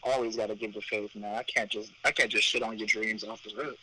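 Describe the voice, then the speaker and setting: Bright, teasing man on a podcast. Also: fairly low pitch, flat delivery, fast, rough recording. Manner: teasing, playful, slangy. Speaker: podcast co-host. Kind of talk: casual podcast banter.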